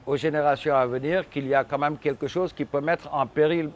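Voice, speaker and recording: A man in his sixties with a Senegalese accent gives reflective interview answers in a formal, deliberate, articulate way. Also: on the high side, fairly even intonation. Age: 60s. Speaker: musician interviewee.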